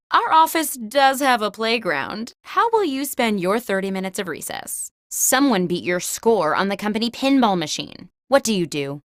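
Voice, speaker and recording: Animated, theatrical office game host. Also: female voice, medium pitch, no echo, clean studio sound. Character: chirpy, wry corporate office job interviewer woman. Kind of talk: scripted game show prompts.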